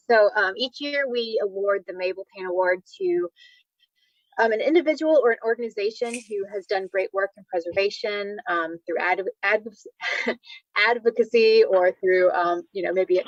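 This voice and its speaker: Woman, mid pitch, conversational planner, halting informal presentation, dry close sound, muffled lo-fi call. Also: dry recording. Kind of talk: informal spoken presentation at a public meeting. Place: remote online video meeting. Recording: dull, lo-fi online meeting audio.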